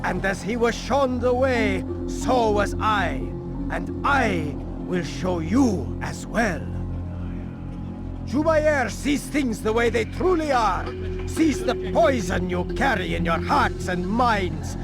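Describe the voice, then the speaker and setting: A high-pitched zealot preacher from a video game. He speaks slowly and with calm, unshakable conviction, as if every phrase were scripture. Loud music plays behind him. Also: heavily acted. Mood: zealous preaching. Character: serene fanatical male preacher of book burning.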